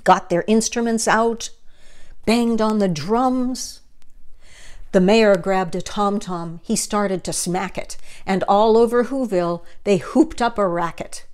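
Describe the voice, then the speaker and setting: A woman storyteller reads a picture book aloud for children. Her mid-pitched voice moves very slowly, leaning on key words with dramatic emphasis. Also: a little mellow, clear, resonant tone.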